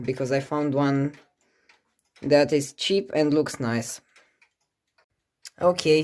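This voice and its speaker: Amateur collector, unhurried and mid-pitched, informal show-and-tell delivery, hesitant and thinking out loud. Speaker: clock collector presenting a clock. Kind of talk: casual hands-on demonstration. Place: indoors beside a ticking clock.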